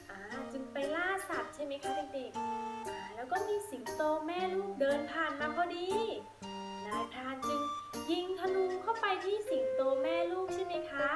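A woman teaching young children in an encouraging, sing-song way, asking them questions. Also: high voice. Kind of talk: addressing young children, question-and-answer lesson. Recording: roomy echo, loud music behind.

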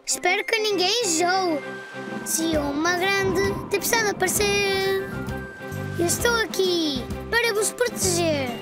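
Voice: extremely high pitch, slow, over loud music. An actor playing a cheerful, eager four-year-old boy in a children's cartoon, with a European Portuguese accent. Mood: playful, brave.

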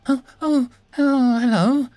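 Man doing a tiny squeaky fairy mother. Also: fairly even intonation, slow pace, warm tone, no echo. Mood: startled.

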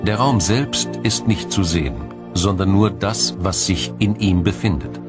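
A man with a deep, low voice narrates a scripted science documentary. His delivery is measured and explanatory. Music plays clearly behind him. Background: background music.

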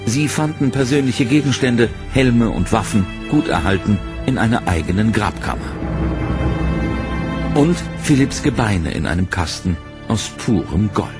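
A man's voice: lowish voice, animated. Man narrating a history documentary. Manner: measured, dramatic storytelling delivery. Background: loud dramatic music.

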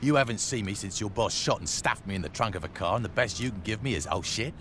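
An actor playing a scarred, hard-edged gang leader in a video game, curt and sarcastic. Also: male voice, on the low side, fast, background noise, close to an everyday voice. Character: scarred male gang boss, blunt and hard. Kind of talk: video game cutscene acting.

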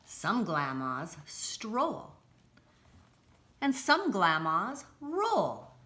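Middle-aged woman, children's storybook reading, unhurried pace, clear emphasis, bright tone. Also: mid-range voice. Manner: expressive, deliberate storytelling with emphasis. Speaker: school read-aloud presenter. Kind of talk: children's picture book read-aloud. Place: indoors, home-like room with armchairs.

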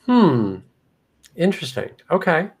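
A man's voice: a little high, sing-song, measured pace, breathy edge. A man hosting a live-stream tech show, dropping in brief encouraging remarks over a video call from a home studio. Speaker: host. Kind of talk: casual live-stream co-host. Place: home studio room, indoors.

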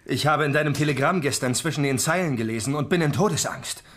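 A man acting an anxious, devoted young gentleman in an audio drama. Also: fairly high pitch, fast talker. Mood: anxious, hurried.

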